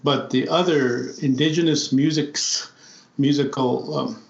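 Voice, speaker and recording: A reflective man talks slowly through his ideas, stopping and repeating words as he searches for them. His voice sits at an ordinary middle pitch. The sound is dull and lo-fi, with a roomy echo around it. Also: steady tone, gravelly. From South Dakota, United States. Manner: thoughtful, halting, repeats words. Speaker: podcast guest, flute player. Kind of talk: reflective conversation.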